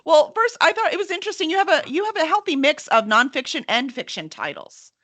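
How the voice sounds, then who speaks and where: Bright, animated woman podcast host. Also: high voice, fast talker, no room sound. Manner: playful, mock-serious joking. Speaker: podcast host and editorial director. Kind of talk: upbeat scripted intro and casual interview chat.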